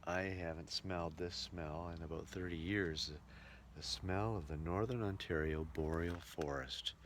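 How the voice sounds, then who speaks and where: Deep-voiced man vlogging on the road. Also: fairly even intonation, moderate pace. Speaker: travelling musical entertainer and vlogger. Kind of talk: casual travel vlog narration. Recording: noisy on-location sound, road and ambient noise.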